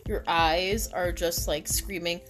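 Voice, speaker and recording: A woman's voice: on the low side, fairly even intonation, slow, a bit bright, faint music behind. A young woman co-hosting a podcast in casual chat, giggling often and stumbling over her words. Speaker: podcast co-host.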